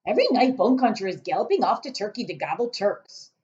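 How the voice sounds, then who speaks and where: A woman voicing a huge, boastful male giant from a children's storybook, emphatic and booming, with mangled grammar. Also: big pitch swings, fast talker, lo-fi sound, lightly acted. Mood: gleeful, boastful. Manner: emphatic, shouting, mangled grammar and invented words. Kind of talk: children's storybook read-aloud.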